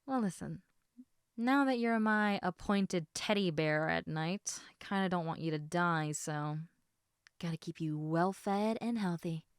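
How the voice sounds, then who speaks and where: A woman voicing an affectionate, teasing half-snake lamia in a casual, intimate audio roleplay, chatting informally with halting, trailing thoughts. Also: fairly low pitch, clear, resonant tone, dry recording, studio quality. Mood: playful teasing.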